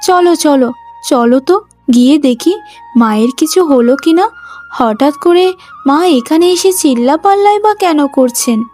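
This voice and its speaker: A woman voicing a gentle, devoted young village daughter-in-law in an emotional cartoon, with a pleading, sentimental delivery. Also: sits high, animated, measured pace, soft background music. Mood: anxious. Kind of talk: emotional cartoon story acting.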